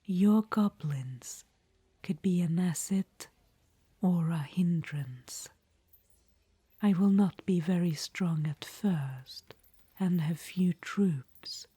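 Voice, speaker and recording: A proud, scheming undead witch queen, voiced by a woman, whispers right into the ear. The voice is airy and breathy with a dark, mellow tone, unhurried and low. The close mic is dry, with no room around it. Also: no room sound, exaggerated voice. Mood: coaxing. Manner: soft unvoiced whisper. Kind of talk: whispered ASMR audio roleplay.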